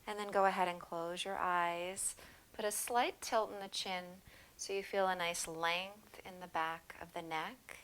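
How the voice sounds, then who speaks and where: Yoga teacher, female, lowish voice, unhurried soothing guided instruction. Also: clear, resonant tone. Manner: slow, soothing, calm guiding cues.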